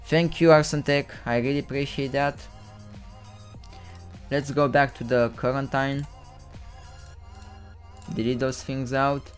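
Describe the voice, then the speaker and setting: A man's voice: medium pitch, flat delivery, slow, pauses often, soft background music. A young man from Romania with a Romanian accent, whose first language is Romanian, hosting a casual live stream with rambling, self-correcting commentary and some stumbles over words.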